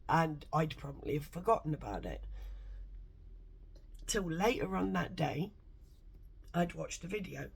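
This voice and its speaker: A woman with a congested, bunged-up nose, rambling excitedly and swearing a lot in a casual vlog from home. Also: low voice, creaky, slightly rough. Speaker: vlogger.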